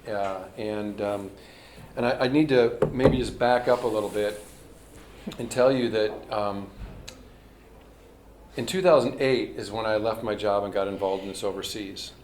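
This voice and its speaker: A man's voice: lowish voice, fairly even intonation, measured pace, darkish tone, a little hiss or hum. A man who directs a nonprofit, starting an informal talk to an audience with hesitant restarts. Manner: hesitant, restarts, self-deprecating. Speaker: nonprofit executive director, speaker. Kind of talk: informal presentation. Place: college event room. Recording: echoey room.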